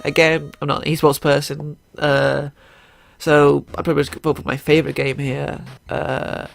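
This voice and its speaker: A man's voice: a little high, flat delivery, normal speed, creaky, no echo. A young man with a cold and a stuffy voice, chatting casually through his picks with lots of fillers and restarts. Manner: rambling, many fillers and restarts. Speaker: YouTuber. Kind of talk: casual gaming commentary.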